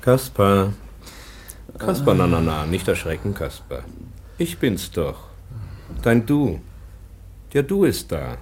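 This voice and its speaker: An actor playing a gentle, protective schoolmaster in a radio drama, soothing and deferential. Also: male voice, low voice, big pitch swings, slow, pauses often, a little hiss or hum, full character voice. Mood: patient, soothing. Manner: soothing simple phrases, deferential to superiors. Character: gentle, patient schoolmaster professor, protective guardian. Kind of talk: German radio drama acting.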